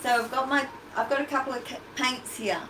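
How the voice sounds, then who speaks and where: A woman's voice: high voice, fairly even intonation, fairly quick, pushed and bright. An Australian-accented woman hosting a casual children's craft livestream, chatty and encouraging as she talks directly to the kids. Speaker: children's storytime and craft host. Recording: echoey, noisy livestream from a distance.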